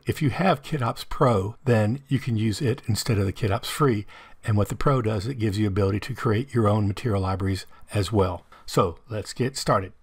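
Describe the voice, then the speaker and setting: A man with a hoarse voice explaining a software tutorial step by step over a screen recording. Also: lowish voice. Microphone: desktop mic, voice-over of screen capture.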